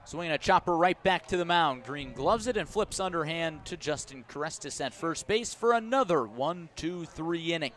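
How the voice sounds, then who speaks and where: An American man doing live play-by-play baseball commentary for radio, measured between pitches and lifting on plays. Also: lively intonation, fairly bright tone. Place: outdoor ballpark broadcast.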